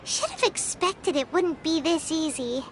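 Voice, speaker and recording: A woman's voice: high voice, a little hiss or hum. An actress voicing a small, chirpy and pushy girl companion in a video game. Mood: musing. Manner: chirpy, pushy, quick to comment. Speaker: game character. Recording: game audio with background music.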